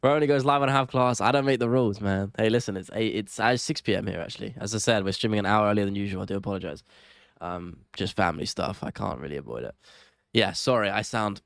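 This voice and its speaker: A young man with a cold hosts a relaxed live stream, his nasal, gravelly voice sitting fairly low. He chats loosely with viewers, apologizing and thinking out loud. The sound is dry and close, with no room around it. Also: quick pace, dry recording. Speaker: MMA live-stream host. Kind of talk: casual live stream chat, reading out viewer comments.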